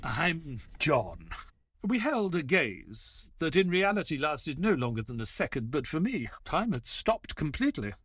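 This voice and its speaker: A man playing a formal, gentlemanly doctor who narrates his memoir as dramatic storytelling in an audio play. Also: a little high, very animated, unhurried, husky, no echo, studio quality, heavily acted. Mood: gentle, courteous.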